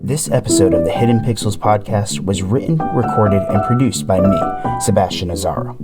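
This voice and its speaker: A man's voice: fairly low pitch, moderate pace, over loud music. A man narrating a scripted podcast as its host.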